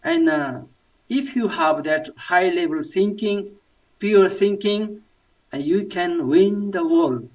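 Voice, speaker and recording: A man from Nepal with a Nepali accent, giving a personal testimony in halting English with many fillers. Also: high-pitched, a little mellow, recorded from a distance, low-quality recording. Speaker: church member giving testimony.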